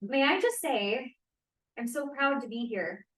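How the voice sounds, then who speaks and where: A woman's voice: high voice, animated, unhurried, a bit bright. A woman playing an eager, chatty young farm-country college student in a 1940s play at a table read. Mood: proud, awed. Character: eager, chatty young cowgirl student from rural Wisconsin, 1945. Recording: roomy, echoey, distant mic.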